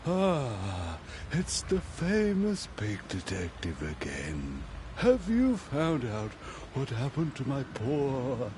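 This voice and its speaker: A man's voice: mid-range voice, huge pitch swings, slow pace, background noise. A man voicing an aggrieved, dramatic video game character with a theatrical, lamenting delivery.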